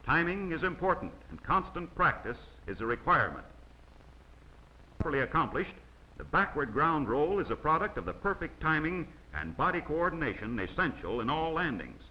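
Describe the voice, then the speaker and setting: A man reading scripted instructional narration in a measured way, as the narrator of a training film. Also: a little high, very animated. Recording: dull, noisy old 16mm film soundtrack.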